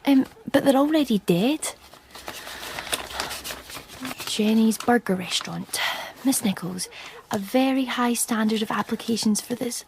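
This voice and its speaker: A woman's voice: on the high side, normal speed, gravelly, few pauses, background noise. An actress playing a painfully shy, wry unemployed woman in a radio play, hesitant and self-deprecating. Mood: dejected. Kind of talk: radio play acting, dialogue and inner monologue.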